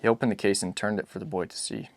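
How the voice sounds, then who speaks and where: A man's voice: deep voice, fairly even intonation, fast talker, no room sound, studio quality. A man narrating a literary novel as a dramatic audiobook reading.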